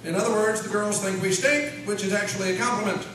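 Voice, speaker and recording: A man's voice: high voice, fairly even intonation, fast talker, a bit bright. A man playing an eager, chatty lodge secretary in a comedy radio sketch, reading out minutes in a folksy, fussy way. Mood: officious. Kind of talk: live comedy radio-show sketch acting. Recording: echoey hall, distant mic, faint noise.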